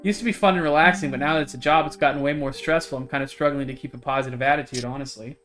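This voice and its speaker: A man reading the part of a wry, put-upon visual novel protagonist, deadpan and grumbling at length. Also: medium pitch, very fast, over music, close to an everyday voice. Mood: weary.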